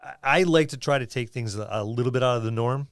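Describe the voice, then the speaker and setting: A man co-hosting a podcast, chatting casually and haltingly about tabletop gaming, thinking aloud as he goes. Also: mid-range voice, dry recording, clean studio sound. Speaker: podcast co-host.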